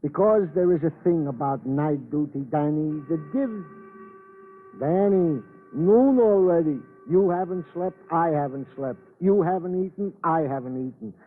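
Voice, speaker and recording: An actor playing a chatty, deferential police sergeant in an old-time radio drama, with florid, roundabout phrasing. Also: male voice, on the high side, measured pace, phone-quality sound, faint music behind, lightly acted. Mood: hesitant, hopeful. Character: chatty, deferential Italian-American police sergeant on night duty. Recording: thin, band-limited old radio recording.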